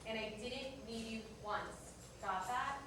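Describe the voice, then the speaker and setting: A bitter, jilted diner manager, played by a woman in a stage play, speaks in a high voice with curt sarcasm and accusation. She is heard from far off in a very echoey room. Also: takes it slowly, noisy background. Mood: brusque, defensive. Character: bitter jilted woman coffee-shop manager in 1976 New York. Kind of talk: staged reader's theater dialogue. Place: indoor performance room, distant microphone.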